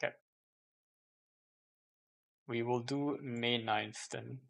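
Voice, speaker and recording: A man's voice: medium pitch, steady tone, drawn-out words, creaky, fairly bright tone. A man chatting casually on a livestream, thinking aloud in a hesitant, rambling way and often repeating himself. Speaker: live streamer.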